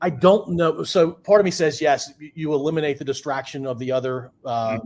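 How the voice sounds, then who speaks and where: Casting: male podcast host, fairly high voice, hesitant and halting, lots of "uh"s. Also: a little mellow. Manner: halting, hesitant, many fillers and restarts. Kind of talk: casual conversational interview.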